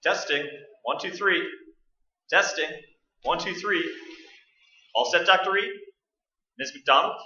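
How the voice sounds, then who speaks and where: An actor playing a jaunty, chatty ghost-hunting radio host with showy, breezy announcer patter in a staged radio play. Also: male voice, high-pitched, slow pace, long pauses, sharp tone, exaggerated voice. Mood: upbeat, showy. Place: indoor stage, live performance. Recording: roomy, distant, dull stage recording.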